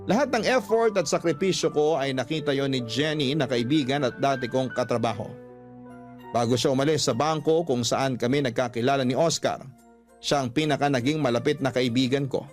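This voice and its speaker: A man narrates a personal letter in a radio storytelling style. His voice sits at a middle pitch, with soft music playing faintly behind it. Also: fairly quick. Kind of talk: scripted narration of a confessional love story.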